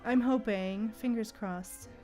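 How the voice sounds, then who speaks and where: A woman's voice: on the high side, slow, darkish tone, faint music behind. A woman hosting a casual gaming livestream, chatting with viewers and thinking aloud in a playful, conversational way. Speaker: livestream host.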